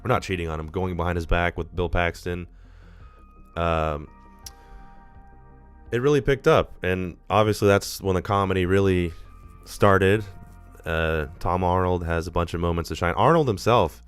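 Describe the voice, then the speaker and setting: A man's voice: low-pitched, normal speed, long pauses, clean tone, faint music behind. A man hosting a live movie-review stream, talking casually and rambling, a little nasal from being sick. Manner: rambling, casual, swears freely.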